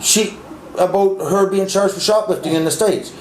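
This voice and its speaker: A man's voice: high-pitched, moderate pace, darkish tone. A man in a casual opinionated debate, blunt and insistent, swearing and repeating himself to make his point. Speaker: interviewee. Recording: echoey, clearly noisy background.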